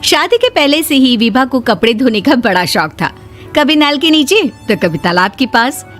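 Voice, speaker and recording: A woman's voice: sits high, animated, moderate pace, a bit bright. A woman narrating a scripted moral story for a cartoon, in a storytelling style. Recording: music behind the voice. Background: background music.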